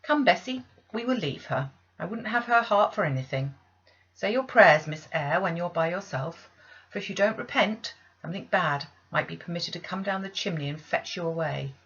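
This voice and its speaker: A woman's voice: fairly low pitch, animated, moderate pace, a bit bright, husky, dull audio, heavily acted. A woman voicing a stern, pious lady's maid in a classic novel, sharply reproachful and moralising. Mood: menacing, pious.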